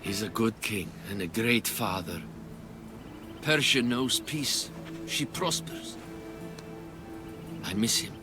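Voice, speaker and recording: An actor playing a proud, earnest Persian prince who speaks formally, in a dramatic video game cutscene. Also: male voice, lowish voice, expressive, takes it slowly, pauses often. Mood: proud, longing. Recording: dramatic music under the voice. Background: cinematic game music.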